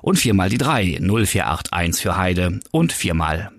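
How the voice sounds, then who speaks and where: Guy hosting a podcast, scripted narration, animated delivery, really dry close sound. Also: sits low, measured pace, dry recording. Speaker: podcast host. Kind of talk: scripted magazine narration with interview questions.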